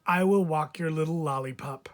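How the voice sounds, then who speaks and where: A man voicing a kindly, soft-hearted old man in a storybook, speaking in a gentle, deliberate way. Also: on the high side, natural voice. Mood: reassuring.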